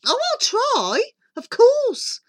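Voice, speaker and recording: A woman's voice: very high-pitched, huge pitch swings, takes it slowly, dry recording, voice pushed up, full character voice. A woman voicing a confident, posh young storybook heroine with a gushing, airy, affected manner. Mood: obliging. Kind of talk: dramatised verse storytelling.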